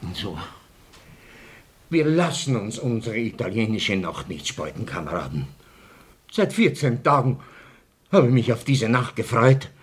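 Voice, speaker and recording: An actor playing a pompous, indignant older club official who blusters officiously in a radio drama. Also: male voice, mid-range voice, big pitch swings, measured pace, long pauses. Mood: wounded, stubborn. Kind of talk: theatrical radio drama acting.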